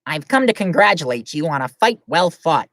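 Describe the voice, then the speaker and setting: A woman voicing a grave, superior guardian angel who speaks slowly and solemnly. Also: low-pitched, very animated, a bit bright, no echo, clean studio sound, voice pushed up. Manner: slow, solemn.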